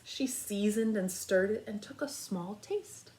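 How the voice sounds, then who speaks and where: A woman's voice: medium pitch, a little slow, warm tone, poor audio. A woman teaching a read-aloud lesson for young children, speaking directly to them and thinking aloud as she goes. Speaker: kindergarten teacher reading aloud.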